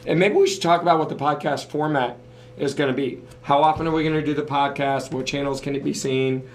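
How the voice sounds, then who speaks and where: Hoarse man hosting, slightly high voice. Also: steady tone, brisk. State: hoarse, losing his voice. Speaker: podcast host. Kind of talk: casual talk, sponsor thanks.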